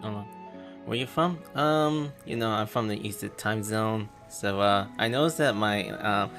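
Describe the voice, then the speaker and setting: A man's voice: fairly low pitch, unhurried. A man with a Filipino accent, rambling hesitantly over a game livestream. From Philippines. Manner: rambling, hesitant, many fillers. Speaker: streamer. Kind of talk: casual livestream chat. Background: game music.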